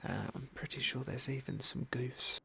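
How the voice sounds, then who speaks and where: A man giving gentle, musing ASMR roleplay commentary on a game in a soft, breathy whisper. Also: medium pitch, steady tone, normal speed, a little hiss or hum. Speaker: game playthrough host.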